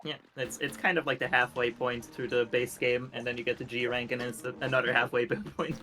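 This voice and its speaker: A man's voice: medium pitch, flat delivery, brisk, pushed and bright, low-quality recording. A man casually chatting and joking while streaming a video game, often laughing and poking fun at himself. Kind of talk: casual gaming chat.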